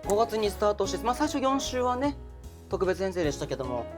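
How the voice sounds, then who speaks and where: A man's voice: sits high, very animated, fast, music in the background. A man hosting a podcast, chatting in a polite, playful, bantering way. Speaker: podcast host and voice actor.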